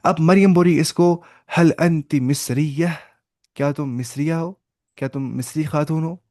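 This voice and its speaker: A man teaching an online language class over a video call. He explains patiently, repeats points, and slowly sounds out each example phrase. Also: fairly high pitch, fairly even intonation, darkish tone, dry recording, clean studio sound. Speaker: Arabic teacher.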